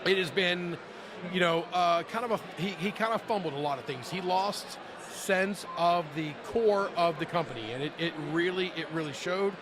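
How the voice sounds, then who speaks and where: A man's voice: sits high, moderate pace, background noise. A man co-hosting a casual business podcast, talking emphatically and laughing often. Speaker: podcast co-host. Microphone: cheap soundboard.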